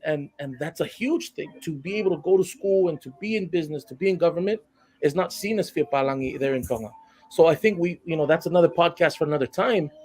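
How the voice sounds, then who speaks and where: A man's voice: on the high side, darkish tone. Earnest Hawaiian man on a live panel. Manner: earnest, builds to passionate emphasis. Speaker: panel guest.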